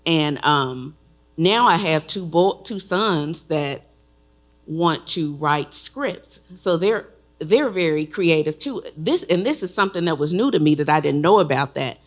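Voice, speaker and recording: A woman's voice: low-pitched, animated, measured pace. A woman from the audience sharing a rambling, personal comment at a panel. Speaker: audience member.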